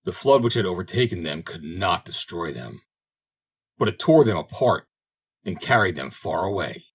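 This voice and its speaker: A man narrates a fairy tale in a fairly low, husky voice. His delivery is expressive and dramatic, with pitch that moves widely from phrase to phrase. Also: moderate pace. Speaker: audiobook reader.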